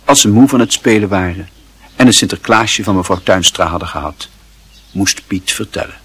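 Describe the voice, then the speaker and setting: A man narrating literary fiction as an expressive audiobook storyteller. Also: low-pitched, moderate pace, husky.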